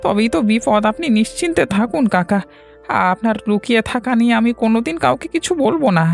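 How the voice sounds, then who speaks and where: Loyal young village helper, played by a woman: creaky, very expressive, earnest, cartoon style over faint music. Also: on the high side, quick pace. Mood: reassuring. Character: loyal young male villager secretly helping a fugitive. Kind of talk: cartoon fairy-tale dialogue.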